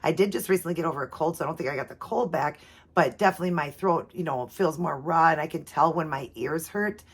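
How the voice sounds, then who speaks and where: Low, raspy woman. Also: quick pace. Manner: chatty with many fillers. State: raspy from allergies. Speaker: doll channel host. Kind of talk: casual video chat.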